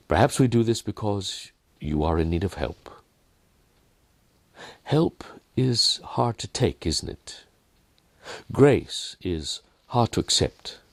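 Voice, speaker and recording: A kindly, earnest miner in a storybook tale, played by a male reader. His low voice swings widely as he stresses his words. The phrasing is deliberate and the sound is dry. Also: vocal fry, darkish tone, no room sound, full character voice. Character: kindly, earnest, wry Outback miner of gentle faith.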